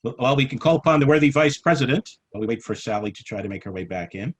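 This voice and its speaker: A man's voice: medium pitch, lively intonation, fast, breathy edge. A middle-aged American man chairing an informal club meeting in a playful, mock-formal way, on a headset over a video call. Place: small room at home. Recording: noisy video-call audio with clicks.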